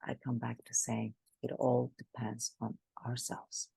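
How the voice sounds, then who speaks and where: A woman speaking as the expert guest in an interview, animated and emphatic, often restarting her sentences. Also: a bass voice, a little slow, creaky, breathy edge, no room sound, poor audio. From Greece. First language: Greek. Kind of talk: conversational interview.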